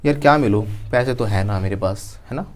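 A man's voice: lowish voice, brisk. A man in his thirties teaching a spoken English lesson, acting out little everyday scenes as he goes. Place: dark studio.

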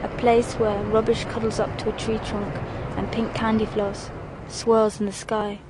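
A woman reading her own poetic voice-over narration, in a measured, evocative way with short fragmented phrases. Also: mid-range voice, level tone, background noise.

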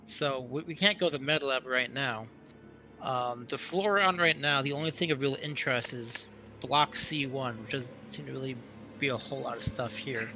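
Guy with crackly higher voice, chatting strategy in a game, dull audio. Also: normal speed, bright, forceful tone, soft background music. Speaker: tabletop game player. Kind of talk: casual game chat. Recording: dull audio, faint noise.

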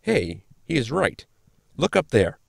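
A man playing a pedantic, eccentric science officer, formal and polite, with a creaky voice, in a sci-fi comedy audio drama. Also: medium pitch, very animated, measured pace, a little hiss or hum, heavily acted.

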